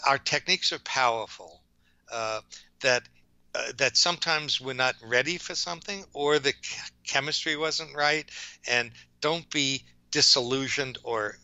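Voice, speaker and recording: Older male psychologist, New York accent, sharp bright voice, halting reflective delivery, dry lo-fi recording. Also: medium pitch, clean tone, no room sound. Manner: rambling, halting, self-correcting. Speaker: OCD psychologist, interview guest. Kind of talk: reflective interview answers.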